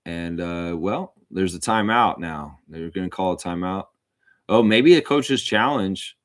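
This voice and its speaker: A man's voice: deep voice, a little slow, dry recording, studio quality. A man hosting a livestream and calling live basketball play-by-play, mixed with casual, opinionated fan chat to viewers. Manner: informal, opinionated fan talk. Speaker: host and amateur play-by-play commentator of a livestream watchalong.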